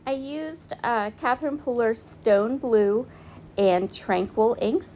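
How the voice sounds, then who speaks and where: A woman giving a casual, chatty voice-over of her craft project at home, her voice raspy and hoarse and she often pauses with "um". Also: on the high side, lively intonation, mellow, slight background noise. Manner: chatty and apologetic, with frequent "um"s. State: hoarse, voice partly fading. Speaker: craft vlogger. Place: at home, in bed.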